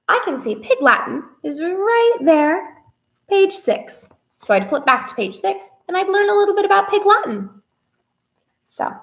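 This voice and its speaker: A young woman presents a children's story time in an expressive, sing-song way, as a librarian talking to kids. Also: a little high. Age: young adult. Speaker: children's librarian hosting a read-aloud. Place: small indoor room with whiteboard.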